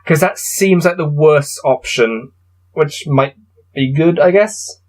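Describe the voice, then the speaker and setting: Wry man with a slightly high voice. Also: slow pace. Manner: self-deprecating, wry asides. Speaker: host playing through a gamebook. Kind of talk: casual playthrough mixed with reading aloud.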